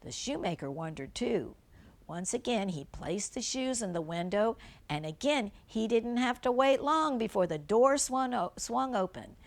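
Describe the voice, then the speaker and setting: Older female storybook reader, grandmotherly, slow delivery, animated intonation, simple asides to a young listener. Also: a little high, gravelly. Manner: simple explanations, gentle questions to a young listener. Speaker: grandmother reading a storybook to children. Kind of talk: read-aloud children's storybook with chatty asides.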